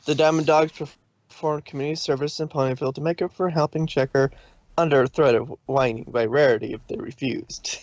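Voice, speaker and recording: A man's voice: fairly high pitch, fairly even intonation, fairly quick, darkish tone, no room sound, highs cut off. A man narrating a fan fiction story from a script.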